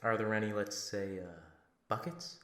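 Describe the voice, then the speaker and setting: A man's voice: fairly low pitch, steady tone, normal speed, a bit bright, roomy sound, natural voice. A man voicing an awkward, fawning cosplayer fan in a comic dub, hesitant and overly polite. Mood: nervously prying.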